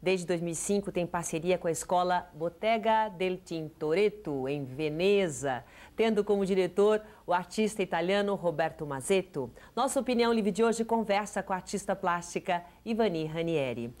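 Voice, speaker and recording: A woman hosting a TV interview, asking lively, inquisitive questions. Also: on the low side, moderate pace. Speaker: TV interview host. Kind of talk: broadcast interview.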